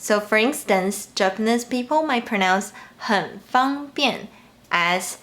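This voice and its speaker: A woman from Taiwan with a Taiwanese accent, giving a clearly explained, scripted lesson on pronunciation and slowing down to model example sounds. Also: mid-range voice, animated, fairly bright tone. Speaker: Mandarin teacher.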